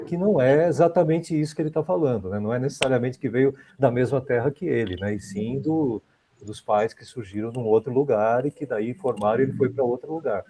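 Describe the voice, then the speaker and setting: A man's voice: mid-range voice, a little fast, dark tone, a little hiss or hum. A man in a study group on a video call, explaining a word while thinking aloud with many restarts.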